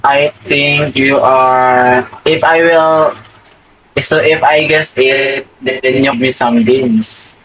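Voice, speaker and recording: Young Filipino man, slow halting English. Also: medium pitch, fairly even intonation, a bit bright, slight background noise, low-quality recording. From Philippines. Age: young adult. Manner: hesitant, halting English with many restarts. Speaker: livestream host practising English. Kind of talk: casual video chat.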